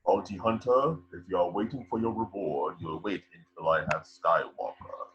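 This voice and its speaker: A man playing a menacing dark lord of science fiction in an amateur script read, commanding and coldly formal. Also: low-pitched, moderate pace, lightly acted. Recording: dull lo-fi, noisy microphone.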